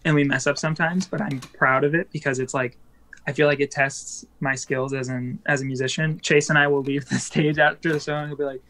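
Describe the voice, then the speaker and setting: Chatty man with a slightly high voice. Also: fairly even intonation, fairly quick, a bit bright. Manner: chatty, rambling with many fillers and laughs. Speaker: band musician, guest. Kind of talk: casual interview chat.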